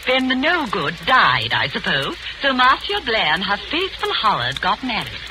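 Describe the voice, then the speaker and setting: A wisecracking young actress in a vintage radio play. She is sardonic and breezy, swinging widely in pitch, on a noisy old recording. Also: wildly swooping pitch, normal speed. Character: wisecracking, cynical young actress playing a witch. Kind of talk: old radio drama acting.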